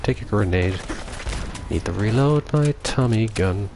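Low-voiced Irish gamer chatting live. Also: male voice, lively intonation, a little slow, background noise. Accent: Irish, Dublin. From Ireland. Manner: rambling, swears often, reads chat aloud. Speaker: livestreaming gamer. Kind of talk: casual live commentary while playing.

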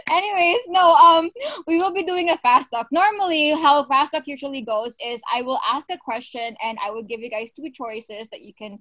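A bubbly woman in her twenties, from the Philippines and raised in Canada, hosts a casual chat over a home video call. Her voice is high and light, and the sound is close and dry with no room to it. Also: moderate pace, sharp tone, no room sound. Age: 20s. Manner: bubbly, giggly, hosting. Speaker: channel co-host, question asker. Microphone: video call webcam.

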